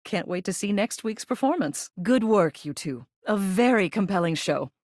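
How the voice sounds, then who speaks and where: Woman acting as genteel older female game character, animated intonation, dry wit, composed theater-critic manner, close dry recording. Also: medium pitch, normal speed, no room sound, close to an everyday voice. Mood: impressed, amused. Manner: refined, composed, dryly witty theater critic. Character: genteel, wry older female ceiling with a chandelier, theater lover.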